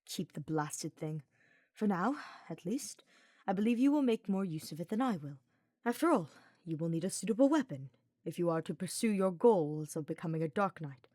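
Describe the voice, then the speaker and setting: A woman voicing a brooding, reserved male dark mage in a fantasy audiobook, speaking formally and grudgingly. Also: lowish voice, animated, moderate pace, no echo, clean studio sound.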